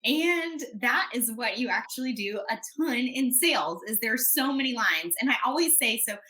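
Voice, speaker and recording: Bright, animated female podcast guest. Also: fairly high pitch, normal speed. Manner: animated, confident, explanatory. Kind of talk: conversational interview.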